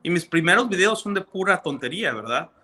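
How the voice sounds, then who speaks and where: A man's voice: high-pitched, lively intonation, a little fast, pushed and bright. A man from Mexico with a Mexican accent, a physician explaining things earnestly in a video-call interview, with many fillers. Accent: Mexican Spanish. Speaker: physician guest.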